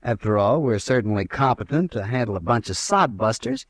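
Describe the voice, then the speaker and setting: A man's voice: mid-range voice, expressive, brisk, no room sound, studio quality, lightly acted. A man playing a haughty young cavalry lieutenant. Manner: clipped, haughty, formal. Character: proud, arrogant young male cavalry lieutenant. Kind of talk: 1950s radio drama acting.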